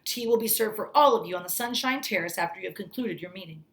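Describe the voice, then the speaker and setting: An obsequious hotel manager from a children's storybook, voiced by a woman reading aloud. The delivery is formal and courteous, eager to please, at a middling pitch. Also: fast, a bit bright, reverberant, close to an everyday voice. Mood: fawning politeness. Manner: polite, formal, fawning.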